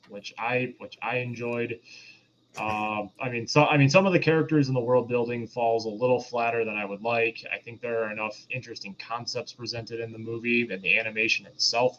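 A man's voice: mid-range voice, steady tone, fairly quick, a bit bright. Guy on a podcast, kinda hesitant, muffled lo-fi mic w a bit of noise. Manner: hesitant, repeats himself as he searches for words. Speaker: podcast co-host. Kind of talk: casual chat, film review. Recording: dull lo-fi mic with faint noise.

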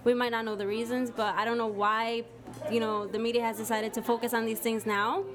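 A woman's voice: on the high side, little pitch movement, brisk, a crowd in the background. A young woman from the Dominican Republic co-hosting a live podcast, talking candidly and outspokenly with casual swearing. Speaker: podcast co-host.

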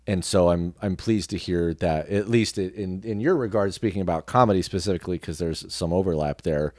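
Male podcast guest, very low pitch, dry sound, reflective and halting casual delivery. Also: a little mellow, no echo. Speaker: podcast guest, radio personality and actor. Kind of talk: casual conversational interview.

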